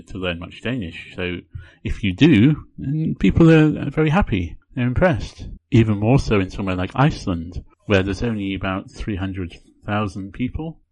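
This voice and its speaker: A man hosting his own podcast, talking casually on his own in an unhurried way and often repeating words as he gathers his thoughts. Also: sits low, no room sound, low-quality recording. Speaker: podcast host. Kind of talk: casual solo podcast talk.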